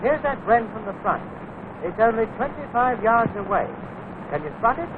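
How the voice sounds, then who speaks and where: British male narrator, wartime instructional style, clipped and direct, very animated delivery, noisy narrow-band film sound. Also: sits high, moderate pace. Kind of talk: scripted 1940s military instructional narration.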